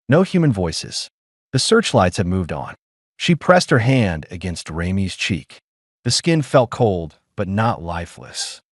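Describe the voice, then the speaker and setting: A man narrating a sci-fi audiobook in a dramatic, measured storytelling manner. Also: lowish voice, no echo, studio quality. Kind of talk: scripted narration.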